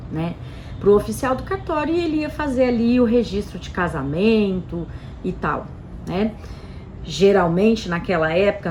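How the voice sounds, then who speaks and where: A woman's voice: on the low side, a little hiss or hum. A woman with a Brazilian Portuguese accent explaining things casually to camera in a chatty way, often tagging her sentences with "né" and "tá". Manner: chatty, frequent "né" and "tá" tags, animated emphasis. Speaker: Italian citizenship specialist presenting a channel.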